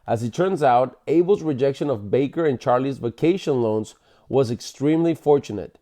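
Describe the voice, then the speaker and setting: A man's voice: mid-range voice, lively intonation, moderate pace, dark tone. A man reading a book aloud like a parent at bedtime, in an explanatory way with occasional self-corrections. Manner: explanatory, stumbles and corrects himself while reading. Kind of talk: bedtime read-aloud of a book, with casual asides.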